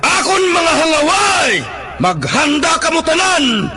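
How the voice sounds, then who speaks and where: A man's voice: extremely high pitch, very animated, slow, heavy background noise, exaggerated voice. An actor playing a commanding harpy king who bellows to his warriors in a declamatory radio drama style. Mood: fierce, commanding. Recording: radio drama with loud music and crowd.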